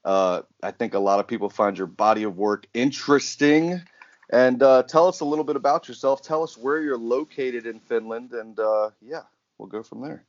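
A man's voice: medium pitch, moderate pace. A man hosting a podcast interview, easygoing and teasing, with a lot of "uh". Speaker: podcast host. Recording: slightly muffled audio.

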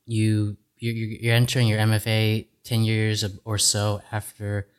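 Podcast host, casual interview, encouraging, short affirmations, mid pitch. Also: completely flat delivery, normal speed, clear, resonant tone.

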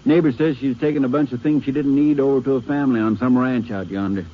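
An actor playing a kindly, folksy country sheriff with a gentle manner, in an old radio drama. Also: male voice, mid-range voice, fast, slight background noise, natural voice. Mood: somber. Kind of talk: 1950s radio drama acting. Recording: dull lo-fi old radio recording.

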